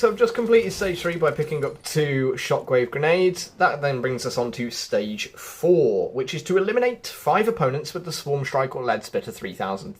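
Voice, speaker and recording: A man hosting a casual gameplay walkthrough, thinking aloud and talking straight to his viewers. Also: mid-range voice. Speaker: gaming video host.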